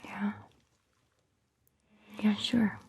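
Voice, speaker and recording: Whispering, unhurried vampire doctor played by a woman. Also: medium pitch, level tone, dull audio, exaggerated voice. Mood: soothing, reassuring. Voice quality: whispery, breathy. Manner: slow, soothing, patient explaining. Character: gentle, reassuring female vampire doctor caring for patient. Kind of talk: soft-spoken whispered ASMR roleplay.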